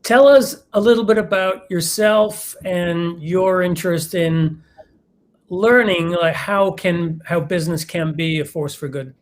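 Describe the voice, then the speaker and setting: A man's voice: high-pitched, a little slow. A man hosting an event conversationally, introducing guests and putting questions to them, often restarting his phrases.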